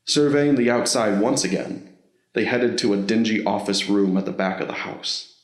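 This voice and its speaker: A man's voice: lowish voice, normal speed. A man reading scripted narration for an audio drama story. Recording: roomy, echoing voice recording.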